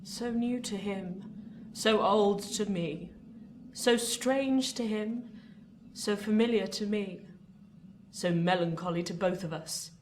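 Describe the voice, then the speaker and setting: A woman's voice: medium pitch, slow, a little mellow, close to an everyday voice. A woman acting a bitter, commanding older recluse in a radio play, with abrupt, theatrical orders. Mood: brooding. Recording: echoey room with noise.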